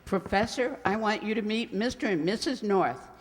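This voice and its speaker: A woman acting a fluttery, excitable spinster librarian in a radio play, often stammering and flustered. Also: mid-range voice, normal speed, natural voice. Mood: gushing, fluttery. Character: fluttery, gushing spinster college librarian, Miss Twig. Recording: reverberant hall.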